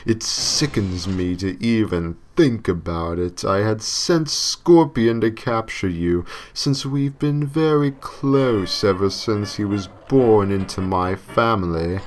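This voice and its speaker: A man voicing the devil as a pompous, sadistic demon villain, proclaiming slowly and theatrically in a creepypasta story. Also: fairly low pitch, slight background noise. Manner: slow, drawn-out, theatrical proclaiming.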